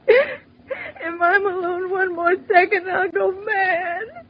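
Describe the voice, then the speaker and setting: An actress playing a nervous, demanding, highly strung invalid wife with a stammering delivery, in 1940s radio thriller style. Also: female voice, takes it slowly. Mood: anguished, tearful. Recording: muffled, noisy 1940s radio recording.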